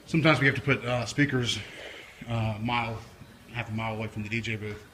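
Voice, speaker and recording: Flat-toned man over background noise. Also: mid-range voice, fast talker. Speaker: video presenter, DJ and PA technician. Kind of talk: casual off-the-cuff equipment walkthrough. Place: indoors, brick-walled workshop building. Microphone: handheld camera or phone, speaker off screen. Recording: noisy handheld camera audio with room ambience.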